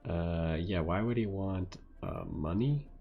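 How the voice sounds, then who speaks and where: A man's voice: low voice, takes it slowly, creaky, highs cut off, soft background music. A man giving casual game-stream commentary in an offhand, musing way.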